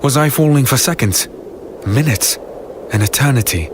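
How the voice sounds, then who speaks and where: A man playing an earnest fantasy hero who narrates his ordeal gravely and dramatically. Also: medium pitch, expressive, normal speed, noisy background, close to an everyday voice. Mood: grim. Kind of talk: scripted dark fantasy audio drama narration. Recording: very loud dramatic music behind.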